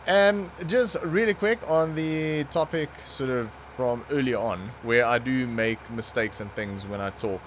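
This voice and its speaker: Young man, non-native English speaker, casual gameplay commentary, fillers and restarts, mid pitch, faint background hum. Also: unhurried, few pauses. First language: not English. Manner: relaxed, thinking aloud, frequent fillers and restarts. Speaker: gaming channel host.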